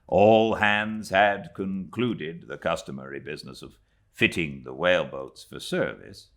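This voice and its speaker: A British man narrating a classic novel as an audiobook, in a theatrical, deliberately phrased style. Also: sits low. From Britain. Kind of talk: dramatic literary narration.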